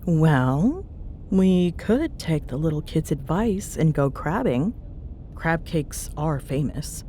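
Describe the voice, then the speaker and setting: Woman acting a lively, low-pitched teasing young tsundere. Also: slow, slight background noise, exaggerated voice. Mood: teasing, playful. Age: young adult. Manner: sarcastic, teasing, foul-mouthed banter. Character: young tsundere ghost-hunting woman, teasing, bossy, secretly fond. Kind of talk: audio roleplay spoken to a listener.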